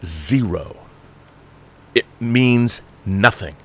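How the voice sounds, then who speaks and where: A man's voice: fairly low pitch, expressive, extremely slow. A man delivering an unscripted film rant as a show host, emphatic, exasperated and profane, repeating phrases for emphasis. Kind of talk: ranting film commentary, unscripted.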